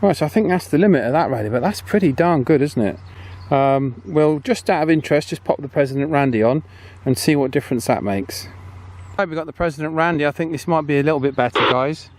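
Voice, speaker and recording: A man hosting a casual video outdoors, chatting in a halting way and checking in often as he tests gear. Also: on the high side, animated, darkish tone. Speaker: host. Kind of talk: casual outdoor video commentary and walkie-talkie testing. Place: outdoors on a path. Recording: outdoor background noise, faint.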